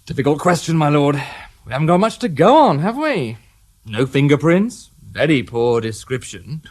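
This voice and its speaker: A man's voice: a little high, sing-song, moderate pace. An actor playing a sardonic British police inspector in a radio crime drama, dry and mock-polite in his questioning. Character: sardonic male detective inspector, dogged and wry.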